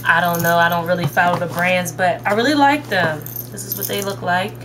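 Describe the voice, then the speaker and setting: A woman's voice: on the low side, fairly quick, background noise. A young woman chatting casually and upbeat to viewers about her shopping haul, recorded on a webcam in her bedroom. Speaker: haul vlogger. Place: bedroom, indoors. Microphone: webcam at desk distance.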